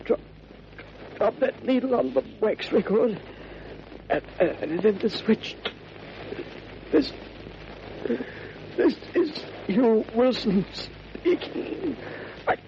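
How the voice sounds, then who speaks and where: Male crook, frightened and faltering, high voice, slow delivery, radio melodrama. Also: animated, full character voice. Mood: dying, gasping. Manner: halting, faltering, pleading. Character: frightened, weak male crook pleading for his life. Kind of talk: old-time radio drama acting. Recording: noisy, muffled vintage radio recording.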